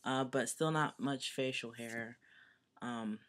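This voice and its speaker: Young adult male vlogger, mid-range voice still settling lower, rambling and sincere, casual home-video delivery. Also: slow, fairly bright tone. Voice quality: voice still deepening, not yet fully low. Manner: chatty and earnest, rambling with many fillers. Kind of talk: casual personal video update.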